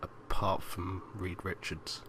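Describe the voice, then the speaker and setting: A man's voice: low voice, level tone, takes it slowly, a bit bright, a little hiss or hum. A man co-hosting a casual film podcast, speaking hesitantly and stumbling over his words at times. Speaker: podcast co-host. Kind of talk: casual banter about films.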